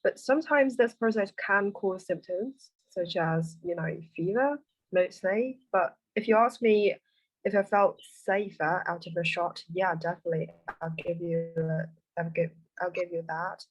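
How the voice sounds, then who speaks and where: A woman's voice: lowish voice, unhurried, no echo, low-quality recording. A woman in her twenties answering interview questions, with many fillers. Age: 20s.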